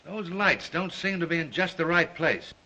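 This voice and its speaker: Worried ship's captain, bright voice. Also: male voice, fairly high pitch, moderate pace, low-quality recording. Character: worried, deferential ship's captain, earnest and cautious. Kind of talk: 1930s film acting.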